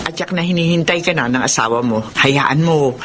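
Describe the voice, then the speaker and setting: A woman's voice: sits low, normal speed, a little hiss or hum, close to an everyday voice. A woman playing a generous, emotional elderly mother in a Filipino radio drama. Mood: gentle, reassuring.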